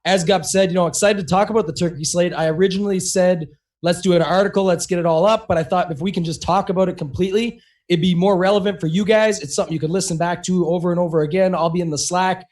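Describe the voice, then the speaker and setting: Fast-talking high-voiced man, slightly hoarse. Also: steady tone, darkish tone. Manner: quick, run-on talk with many "you know"s. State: slightly losing his voice. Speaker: podcast co-host. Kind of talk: casual podcast chat.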